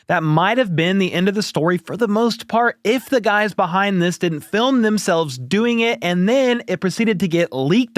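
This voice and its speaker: A man's voice: on the high side, no echo, clean studio sound. A man hosting a football news show, giving conversational, opinionated commentary in a casual style.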